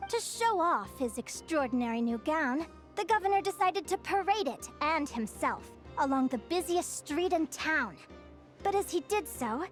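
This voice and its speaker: A woman voicing a gentle, scholarly young storyteller in a video game, speaking with measured patience over music. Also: sits high, lively intonation. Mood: storytelling. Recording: music playing behind the voice.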